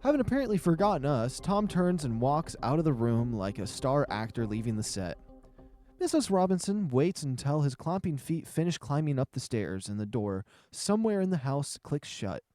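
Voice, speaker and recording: Mellow, animated male narrator in a roomy space. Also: a little high, moderate pace, soft background music. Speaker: narrator and reader of a visual novel. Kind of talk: first-person scripted narration.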